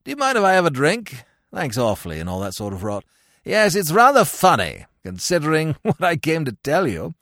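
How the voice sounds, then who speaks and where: A man's voice: on the high side, wildly swooping pitch, a little fast, fairly bright tone, no echo, clean studio sound, exaggerated voice. A man voicing a carefree, foppish young upper-class man who giggles and chatters in breezy slang. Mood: chirpy.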